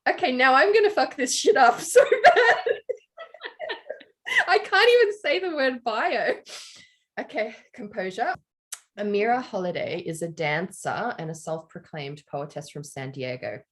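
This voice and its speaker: A woman with a deep, sultry voice co-hosting a podcast, chatty and quick to laugh at herself. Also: expressive, fairly bright tone. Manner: laughs often, chatty, self-deprecating. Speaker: podcast co-host. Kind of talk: casual chat, reading a bio.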